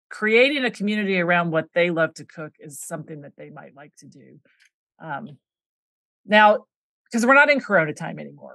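A woman's voice: fairly low pitch, pushed and bright, no echo. A woman hosting a podcast, asking long, encouraging questions. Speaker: podcast host. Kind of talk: interview questions.

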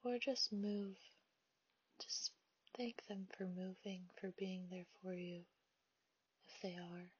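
Woman whispering a guided meditation real slow, breathy, kinda muffled dry audio. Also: medium pitch, no echo. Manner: soft, half-whispered, slow and soothing. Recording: dull lo-fi dry recording.

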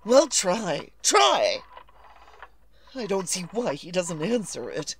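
A woman's voice: lowish voice, wildly swooping pitch, slow, a little hiss or hum, exaggerated voice. A woman playing a nervous, demanding bedridden wife in old-time radio suspense style, fretful and imperious, stammering and repeating herself. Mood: irritated, demanding. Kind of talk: 1940s radio suspense acting.

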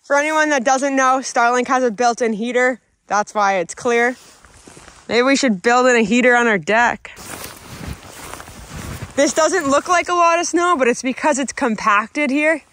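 Woman vlogger, thirties, Canadian accent, high voice, playful chat, recorded outdoors with clear background noise. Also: a little slow, long pauses, a bit bright. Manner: playful, chatty. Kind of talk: casual chat to camera and to a tractor. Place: outdoors in snow, around a tractor and yard.

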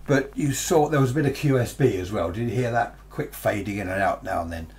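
A man's voice: lowish voice, fairly quick. An older British man giving a casual, rambling, unscripted demonstration, chatting with frequent tag questions. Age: 60s or older. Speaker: presenter reviewing radio gear. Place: small cluttered indoor radio workshop.

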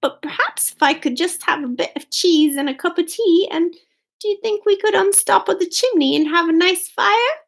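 A woman voicing a small, polite, apologetic mouse from a children's storybook, soft and tentative. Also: very high voice, normal speed, gravelly, voice pushed up. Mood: pleading, hopeful.

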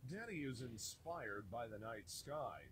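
A man's voice: medium pitch, lively intonation, a little slow, a bit bright, a little hiss or hum. A man narrating a children's game from a script, giving simple instructions for young kids.

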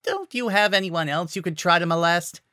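Casting: man as biting female cat mercenary, raised pitch, sarcastic and aggressive, fan fiction drama. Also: moderate pace, pushed and bright, no echo, clean studio sound. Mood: scornful. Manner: biting, scornful.

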